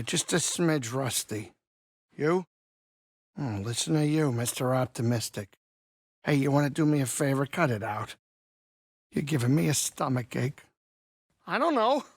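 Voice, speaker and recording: Gruff wisecracking alien pilot, bright forceful voice, very animated swings, anxious, kindhearted, folksy, video game character. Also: male voice, a little high, no echo, clean studio sound, exaggerated voice. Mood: wry, grumbling. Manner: expressive, folksy, wry grumbling.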